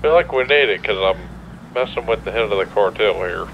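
A man's voice: on the low side, lively intonation, brisk. An American man talking casually over in-game radio chatter as he plays. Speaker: player in online police roleplay. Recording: dull lo-fi voice chat, some noise.

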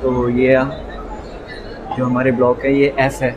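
A man's voice: medium pitch, completely flat delivery, takes it slowly, background noise. A man giving a casual, matter-of-fact walkthrough of a crowded indoor trade expo, recorded on a handheld phone. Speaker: vlogger touring a trade expo. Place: crowded indoor exhibition hall. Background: loud dance music.

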